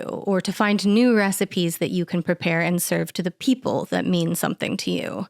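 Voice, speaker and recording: A woman's voice: mid-range voice, no echo. A woman from the United States hosting a video essay, narrating a recipe with dry, sarcastic humor and casual swearing. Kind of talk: casual voice-over narration over cooking footage.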